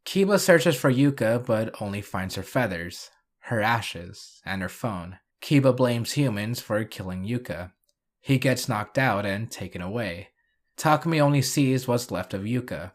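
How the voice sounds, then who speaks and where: A man narrating a scripted video review and recap, with dry, sarcastic asides. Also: on the low side.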